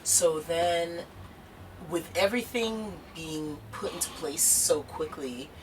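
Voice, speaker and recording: A woman's voice: low voice, takes it slowly, recorded from a distance, background noise. A young woman talking about her music in an earnest, rambling interview full of fillers and restarts. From United States. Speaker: singer-songwriter. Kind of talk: casual documentary interview.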